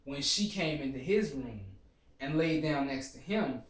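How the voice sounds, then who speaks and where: A man's voice: on the high side, expressive, a little slow. A man co-hosting a casual, sweary discussion, often cutting in and repeating himself to get a word in. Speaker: co-host of a TV series discussion show. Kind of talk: casual group discussion, profane slang. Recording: roomy echo, distant from the mic.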